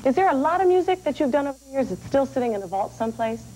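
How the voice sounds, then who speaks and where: A woman's voice: high-pitched, very animated, racing, warm tone, a little hiss or hum, poor audio. A woman in her thirties co-hosting a morning TV interview, bubbly and eager with her questions. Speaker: talk show co-host. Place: TV studio set. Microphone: lapel mic.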